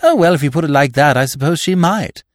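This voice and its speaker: A man's voice: fairly high pitch, expressive, fast talker, dry recording, clean studio sound, voice pushed up, lightly acted. A man voicing a breezy, cheerfully scheming upper-class young Englishman in a comic audiobook, eager and full of slangy exclamations. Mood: breezy urging. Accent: British. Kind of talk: comic audiobook character reading.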